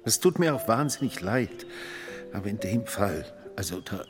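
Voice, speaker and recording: An actor playing a remorseful lover in a radio drama, apologetic and halting. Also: male voice, fairly low pitch, animated, close to an everyday voice. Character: remorseful lover making lame excuses. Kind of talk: German radio drama acting. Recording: reverberant hall with faint music.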